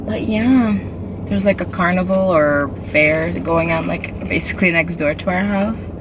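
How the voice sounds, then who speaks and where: A woman vlogging in casual, rambling chat, hoarse from a cold, full of "like" and "um". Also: lowish voice, unhurried. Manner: chatty, filler-heavy, with frequent "like" and "um". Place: inside a moving truck. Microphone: handheld camera. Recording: road and vehicle noise.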